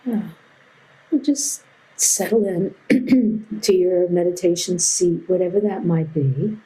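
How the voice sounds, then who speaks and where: A woman teaching a guided meditation in a gentle, unhurried way with long pauses. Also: fairly low pitch, rough recording.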